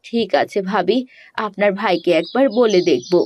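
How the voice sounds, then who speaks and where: A woman voicing a gentle, weary village mother in a cartoon, speaking in a caring, resigned way. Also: mid-range voice, normal speed, muffled recording, lightly acted. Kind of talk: Bengali animated fairy-tale voice acting.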